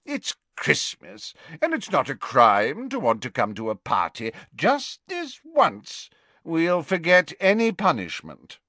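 A man voicing a tipsy, jovial older male professor who gushes and booms his words. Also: wildly swooping pitch, slow pace, dry recording, full character voice. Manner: hearty, gushing, loud exclamations.

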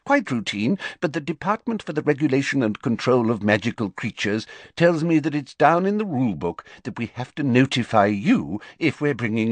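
A man voicing a flustered, fretful male wizard minister who talks in a brisk, bluffing, airy way, in an audiobook. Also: mid-range voice, dry recording, voice pushed up. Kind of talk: audiobook character voice.

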